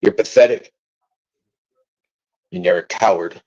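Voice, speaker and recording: A man speaks in a very low, deep register, and his answers stop and restart as he searches for words. When he pushes back he turns blunt and defiant. The audio is dry and slightly muffled, with a lo-fi edge. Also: no echo. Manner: halting and rambling, then rising to defiant, forceful outbursts. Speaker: father of a missing child, interviewee. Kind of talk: interview answers.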